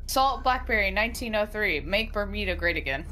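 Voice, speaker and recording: A woman's voice: mid-range voice, lively intonation, a little fast, sharp tone, a little hiss or hum. A woman co-hosting a casual podcast, reading out online comments and chatting in an irreverent, slangy way. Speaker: podcast co-host.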